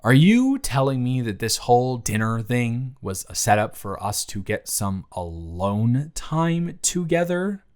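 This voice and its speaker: An earnest young man in a romantic audio drama, acted by a man, talking straight to the listener. His delivery is conversational and emotive, in a mid-pitched voice. He comes across as flustered and lovestruck, open about his feelings. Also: clean tone. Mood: bewildered. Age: young adult. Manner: conversational, emotive, swinging from hushed to exasperated. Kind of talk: romantic audio roleplay monologue addressed to the listener.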